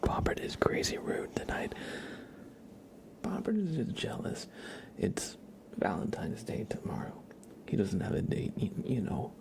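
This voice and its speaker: A man hosting an ASMR livestream, chatting playfully with viewers in a soft, whispery, breathy voice with mock-serious teasing. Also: lowish voice, sing-song, measured pace.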